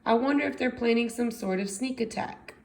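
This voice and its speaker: A woman's voice: on the high side, darkish tone, voice pushed up, close to an everyday voice. A woman voicing a bossy, exasperated young girl mad scientist from a storybook, with dramatic, emphatic delivery. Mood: focused. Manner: dramatic, emphatic delivery with drawn-out stressed words. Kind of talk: storybook character voice in a read-aloud.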